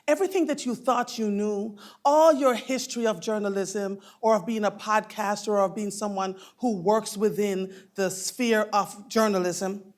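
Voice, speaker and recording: A woman in her fifties with a boomy voice, emceeing a conference and effusively addressing the audience from the stage. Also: steady tone, moderate pace, few pauses. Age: 50s. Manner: effusive, calls people darling. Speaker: mistress of ceremonies.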